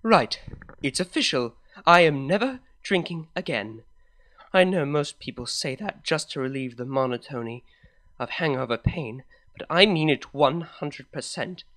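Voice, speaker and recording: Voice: mid-range voice, expressive, measured pace, fairly bright tone. A reader plays an anxious female pony in a dramatic story reading. She is heartfelt and earnest, putting emotion into carefully formal wording. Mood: distraught, exasperated. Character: Octavia, anxious, heartfelt, well-spoken adult female pony. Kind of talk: dramatic fan fiction reading.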